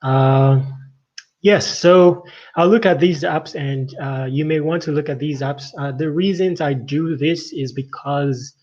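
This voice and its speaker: A middle-aged man giving casual tech news commentary as a livestream host, talking in a halting, rambling way with frequent verbal tags. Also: a little high, darkish tone. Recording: dull, lo-fi microphone sound.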